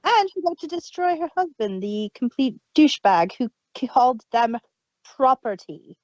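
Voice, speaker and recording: A woman's voice: sits high, sing-song, moderate pace, a little mellow, no room sound, muffled recording. A woman co-hosting a casual review chat, recounting story details at length. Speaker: podcast co-host.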